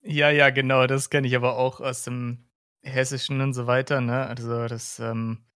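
A man's voice: mid-range voice, level tone, normal speed, a bit bright, no room sound, studio quality. A man from Hesse in Germany chats casually as a podcast host, explaining things in a rambling way.

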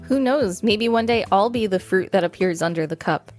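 A woman's voice: mid-range voice, moderate pace, faint music behind. A woman with an American accent, co-hosting a podcast, reading an article aloud with casual remarks. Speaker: podcast co-host.

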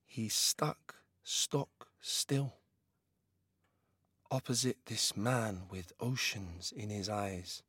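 Guy narrating a dark audio drama, lowish voice, takes his time, dry sound, intense. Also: extremely slow, husky, dry recording.

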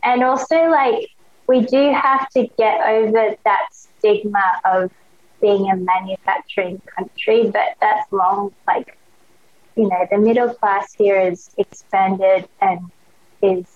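A woman's voice: mid-range voice, fairly even intonation, takes it slowly. A young woman with an Australian accent, a podcast guest chatting eagerly in slangy casual talk full of "like" fillers. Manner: enthusiastic, slangy, many "like" fillers. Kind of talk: casual chat. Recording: dull lo-fi sound.

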